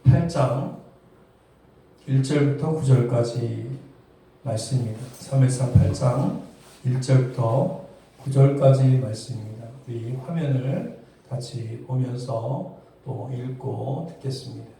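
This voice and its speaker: A man's voice: mid-range voice, measured pace, vocal fry, warm tone, slight background noise. A man preaching a sermon, putting rhetorical questions to his congregation. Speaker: pastor preaching. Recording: very echoey hall, distant mic.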